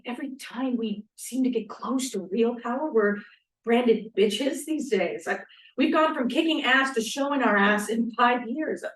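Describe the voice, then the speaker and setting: A woman's voice: fairly high pitch, unhurried, natural voice. A woman acting a blunt, emotional young feminist in a script read-through, dramatic and earnest. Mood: exasperated. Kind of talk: table read of a play script. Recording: echoey room, distant microphone.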